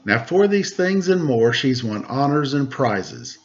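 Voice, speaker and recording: A man, a teacher, reading a rhyming picture book aloud to children in a lively way and asking them questions. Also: medium pitch, a little slow, highs cut off, a little hiss or hum. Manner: lively rhyming delivery with rising questions to listeners. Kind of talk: storybook read-aloud to children.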